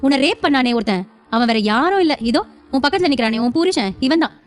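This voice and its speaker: Villainess elder sister, acted by a woman: high, rapid, sneering, scheming, audio serial melodrama. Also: expressive. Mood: gloating. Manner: gloating, sneering, insistent. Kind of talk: audio serial drama acting.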